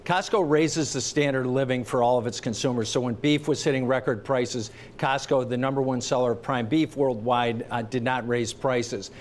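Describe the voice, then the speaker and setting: A man answering in a televised business interview, listing facts briskly with a few fillers. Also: mid-range voice, steady tone. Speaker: interviewed commentator.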